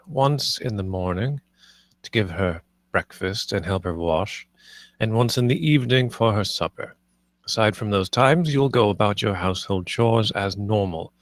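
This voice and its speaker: Man acting a stern, low-voiced household master. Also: no room sound, lightly acted. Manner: commanding, clipped, formal. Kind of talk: dramatic script table read.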